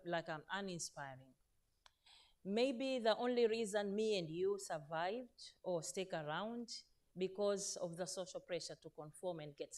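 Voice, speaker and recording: Earnest older woman, low voice. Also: a little mellow. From Zanzibar, Tanzania. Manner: earnest, addresses audience directly, frequent fillers and self-corrections. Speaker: panel speaker, veteran teacher. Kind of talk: conference panel talk. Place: conference stage in Turkey.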